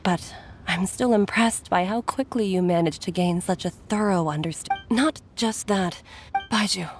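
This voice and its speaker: A woman's voice: lowish voice, unhurried. An actress playing an earnest woman healer in a game cutscene, sounding concerned as she speaks. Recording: game audio with loud music.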